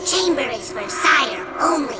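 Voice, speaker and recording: A woman's voice: sits high, animated, measured pace. A woman voicing a small, servile alien creature in a video game, speaking in simple broken phrases. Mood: insistent. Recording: distant, echoey, loud music behind.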